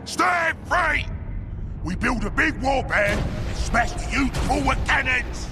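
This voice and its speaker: An actor playing a brutish, bossy, scheming orc warboss in a game cutscene, with a gravelly Cockney voice, crude and gloating. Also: male voice, very high voice, expressive, slow, noisy background, heavily acted. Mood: commanding, gleeful. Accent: Cockney English. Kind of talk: video game cutscene acting. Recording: loud music behind. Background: loud music.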